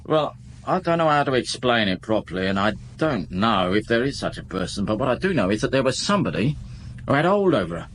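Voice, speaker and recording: Radio drama: weary club owner, casual and confiding, lively intonation, slight hiss behind. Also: male voice, mid-range voice, brisk, low-quality recording. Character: world-weary male nightclub owner. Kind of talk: 1950s-set radio drama acting.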